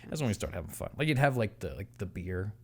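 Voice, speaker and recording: A man's voice: fairly low pitch, very animated, quick pace, creaky, slight background noise. A man chatting casually as a podcast guest, telling stories and laughing often. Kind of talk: casual chat. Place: podcast studio.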